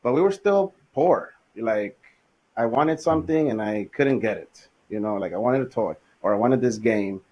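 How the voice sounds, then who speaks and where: Laid-back LA man in his thirties, podcast guest, chatty and loose, mid-pitched. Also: moderate pace, dull audio. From Los Angeles. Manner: relaxed, laid-back, frequent fillers. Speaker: podcast guest, business owner. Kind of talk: casual conversational storytelling.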